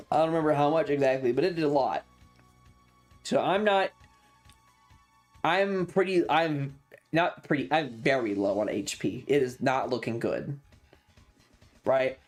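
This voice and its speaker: A streamer telling a tabletop game story to a live chat in a casual, sweary, emphatic way, repeating phrases for drama. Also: mid-range voice.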